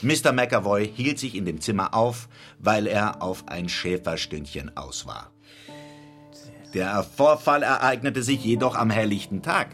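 A measured, self-assured male detective. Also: lowish voice, expressive, fairly bright tone, faint music behind. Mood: explanatory, assured. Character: self-assured male detective, coolly logical, explaining his case. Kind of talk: radio drama acting, explanatory monologue.

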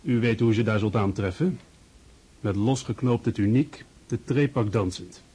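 A man's voice: lowish voice, measured pace, mellow, husky. A man acting a wry, gossipy army officer in a radio play, casual and knowing. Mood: wry, amused.

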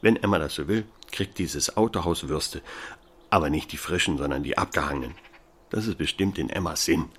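A man's voice: extremely low pitch, fairly quick, fairly bright tone, husky, exaggerated voice. An actor playing a blustering, blunt village policeman in a radio drama, bossing people about. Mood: firm, decided. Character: blustering village policeman, grown son bossing his mother.

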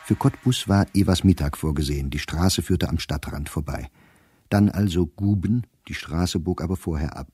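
An actor as a very deep, mellow male diarist. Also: close to an everyday voice. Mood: matter-of-fact. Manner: measured, precise, clipped telegraphic phrasing. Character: observant middle-aged male diarist, dry and wry. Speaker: actor reading a diary. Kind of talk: literary diary narration in a radio play.

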